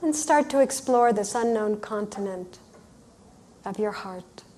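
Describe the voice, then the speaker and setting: A woman workshop leader leading a guided visualization in a gentle, soothing manner, speaking in short phrases with pauses. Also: medium pitch, lively intonation, a little hiss or hum. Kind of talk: guided meditation, unhurried storytelling instruction.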